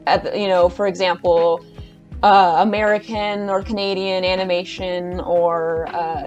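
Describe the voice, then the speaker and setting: A woman giving a casual, enthusiastic video-essay rant as a YouTuber, chatty and hesitant, often restarting her sentences. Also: mid-range voice.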